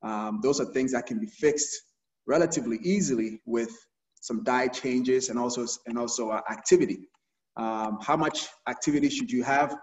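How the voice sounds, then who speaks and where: A middle-aged doctor from Ghana talks through a health presentation on a video call, stopping often to restart his phrases. His voice sits at an ordinary pitch but comes from a little way off the mic, in a roomy space, and the sound is dull and muffled. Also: normal speed, recorded from a distance. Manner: hesitant, many fillers and repeated starts. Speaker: urologist giving a health talk.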